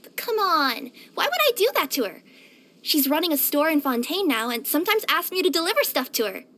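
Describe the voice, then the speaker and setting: A woman voicing a cheerful, curious young female cat yokai in a video game, with a light girlish voice and an expressive, chatty delivery. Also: sits high, fairly quick, a little hiss or hum. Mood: chatty, bright. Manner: expressive, eager, chatty, wistful at times. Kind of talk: scripted game character voice-over.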